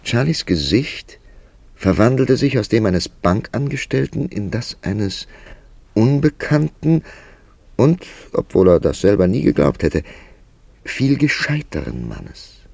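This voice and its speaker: An inquisitive male narrator in a German radio drama. The voice is very low and creaky, with lively swings of intonation, and it tells the tale in a measured literary manner, recorded dry and close. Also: no echo, exaggerated voice. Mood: absorbed narration.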